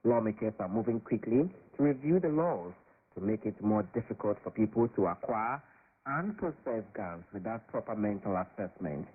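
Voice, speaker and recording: A man reading a scripted news report in a measured, formal way. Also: on the low side, lively intonation, breathy edge. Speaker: radio reporter. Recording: tinny shortwave radio.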